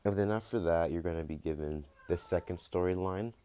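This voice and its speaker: A man's voice: deep voice, a little fast, mellow, no room sound, clean studio sound. A man giving a casual, chatty game walkthrough, talking informally to his viewers. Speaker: game guide video creator. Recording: music behind the voice. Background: game music and dialogue.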